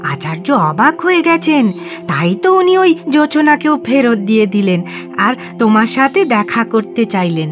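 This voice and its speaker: A woman's voice: a little high, sing-song, moderate pace, over music. A woman playing an eager, innocent young village boy in an audio drama, chattering in a proud, childlike way. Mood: excited, proud. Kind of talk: audio story acting in Bengali radio drama.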